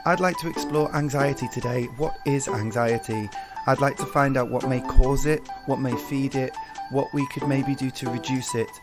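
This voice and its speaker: A man's voice: medium pitch, fairly even intonation, normal speed, loud music behind the voice. A middle-aged man hosting a podcast, interviewing in a casual, conversational way. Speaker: podcast host.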